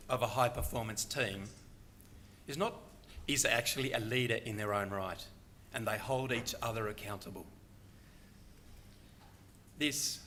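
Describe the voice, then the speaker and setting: A man's voice: medium pitch, bright, forceful tone, slight background noise. An older man speaking earnestly and reflectively at a conference panel, delivering a prepared talk. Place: conference hall.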